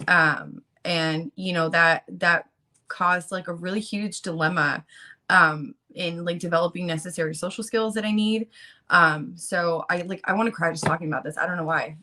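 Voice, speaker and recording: A woman in her late twenties speaks in a bright, forceful tone that sits a little low for her sex. She talks earnestly and haltingly and keeps filling her pauses with ums and you-knows, sounding as if she has just woken up. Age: late 20s. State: just woke up. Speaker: guest speaker on a channel about fighting child protective services. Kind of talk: casual talk, partly reading resources aloud.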